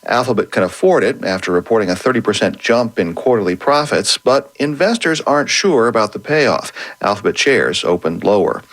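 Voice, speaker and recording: A man's voice: fairly low pitch, expressive, fairly quick, hardly any pauses, a bit bright. A man with an American accent delivering a scripted radio news report.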